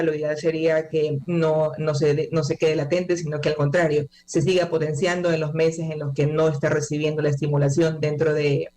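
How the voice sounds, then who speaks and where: A woman's voice: deep voice, a little mellow. Woman, academy manager as guest, patient explainer, hesitant fillers between points. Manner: measured, explanatory, frequent "eh" fillers. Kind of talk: explanatory interview answers.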